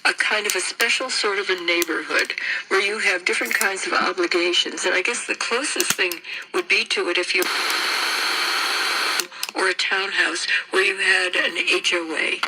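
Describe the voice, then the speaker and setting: Radio talk voice, conversational explainer, played through a small speaker with clear noise. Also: mid-range voice, normal speed.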